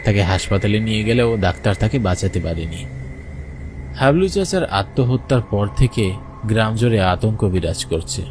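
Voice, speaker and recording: A man's voice: fairly low pitch, sing-song, a little fast, background noise. A man playing a frightened village fisherman, narrating a cartoon horror story in the first person with suspense and anxious urgency. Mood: uneasy storytelling.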